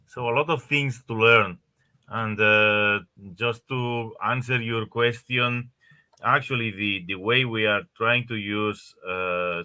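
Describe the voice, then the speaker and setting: A man answering questions as a panelist in an online webinar, halting and repeating words as he thinks. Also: on the low side, sharp tone. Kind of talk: answering questions on a video call. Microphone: video call.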